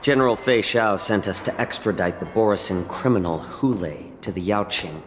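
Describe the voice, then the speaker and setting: A man playing a composed, courteous fox-folk envoy in a video game, speaking in a measured, formal way with a dry teasing edge. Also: lowish voice, long reverb tail, noisy background, lightly acted. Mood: formal, polite.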